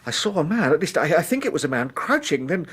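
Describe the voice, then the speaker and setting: Frail young Victorian Englishman, lightly pitched, breathy and anxious, courteous to a fault, radio drama. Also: sing-song, fast, a bit bright, heavily acted. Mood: haunted, nervous. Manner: hesitant, nervous, polite.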